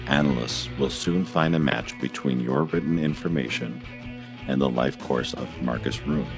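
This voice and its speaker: A man voicing a calm, formal emissary from the future in a radio drama, speaking in a measured, deliberate way. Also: extremely low pitch, vocal fry, over music. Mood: calm, assuring. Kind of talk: scripted monologue in amateur radio drama.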